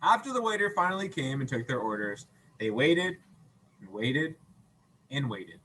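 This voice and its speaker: A man reading a children's story aloud as a teacher, playful and expressive, with acted sound effects and questions to listeners. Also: mid-range voice, normal speed, slight background noise, poor audio.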